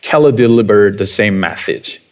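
A man's voice: on the low side, expressive, a little hiss or hum. A man in his thirties with a Korean accent, preaching a sermon in a chatty way and putting questions to the congregation. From South Korea. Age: 30s. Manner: unhurried and conversational, chuckling often, asking the congregation questions. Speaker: pastor.

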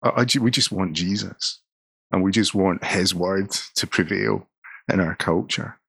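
Deep gravelly Scottish pastor. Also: male voice, unhurried, a bit bright, no room sound. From Scotland. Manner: earnest, repeats words as he starts sentences. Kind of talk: conversational interview.

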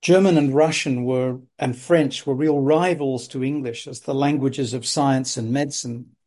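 A man's voice: medium pitch, steady tone, moderate pace, a little mellow. A man hosting an academic interview, speaking in an articulate, discursive way. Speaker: interviewer host. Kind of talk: conversational interview.

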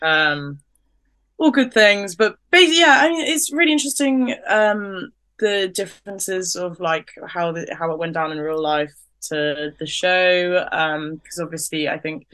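A young woman co-hosting a podcast, talking in an animated, chatty way with lots of fillers. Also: fairly low pitch, a little slow, clear, resonant tone. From Ukrainian family, raised in the UK. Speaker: podcast co-host. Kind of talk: casual podcast discussion.

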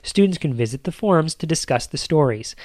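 A man's voice: on the high side, animated, fairly quick, darkish tone, no echo, studio quality. A man hosting a podcast, talking through a scripted monologue in a conversational way, with playful emphasis on lists. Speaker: podcast host.

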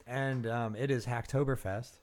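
A man's voice: mid-range voice, fairly even intonation, normal speed, a little mellow. A man co-hosting a developer podcast, talking in an easygoing, joking way with lots of fillers. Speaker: podcast co-host. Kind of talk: casual chat.

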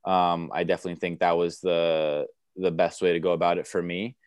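A young man with a very low, even voice talks through his answers, stopping and restarting often. His tone is smooth and resonant. The sound is dry and close, with little room around it. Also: a little fast, no echo. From United States. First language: Romanian. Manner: relaxed, many fillers and restarts. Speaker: tennis player guest. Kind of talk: interview answers.

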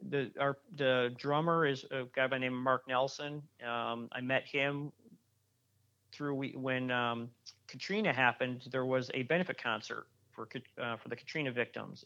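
A man's voice: medium pitch, pushed and bright. Rambling man with dull lo-fi sound. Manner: rambling, many restarts and fillers. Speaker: guest musician. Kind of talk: casual interview chat.

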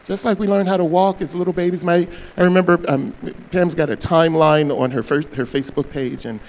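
An older man, a pastor preaching a sermon, his voice hoarse, swinging between loud bursts and soft asides. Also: a little high, steady tone, fairly quick, low-quality recording.

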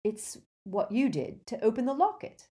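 A woman's voice: on the high side, sing-song, normal speed, dark tone, voice pushed up. A woman voicing an easygoing teenage boy who speaks plainly and modestly. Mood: matter-of-fact. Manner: plain, matter-of-fact.

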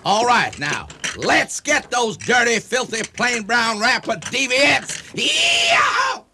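An actor playing a bombastic, paranoid club leader who speechifies pompously and barks commands in a comic radio drama. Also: male voice, extremely high pitch, huge pitch swings, slow pace, shouting, background noise, heavily acted. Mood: rallying, fired up.

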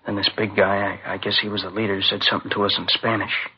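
An actor playing a shaken, exhausted young student witness in a 1950s radio drama, halting and subdued. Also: male voice, fairly low pitch, level tone, lightly acted. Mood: shaken, recounting. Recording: dull old radio recording, faint noise.

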